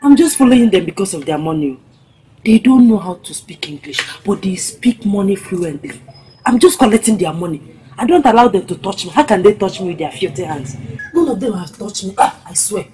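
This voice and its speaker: A woman's voice: mid-range voice, animated, fairly quick, dark tone, close to an everyday voice, rough recording. An actress playing a young village woman who begs her boyfriend's forgiveness, with a pleading, coaxing manner. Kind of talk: film acting.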